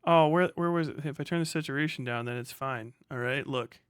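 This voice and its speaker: A man's voice: fairly high pitch, fairly quick, clear, resonant tone, dry recording, clean studio sound. A man hosting a livestream, chatting casually and off the cuff, muttering to himself and swearing freely. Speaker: livestream host. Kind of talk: casual unscripted livestream chat.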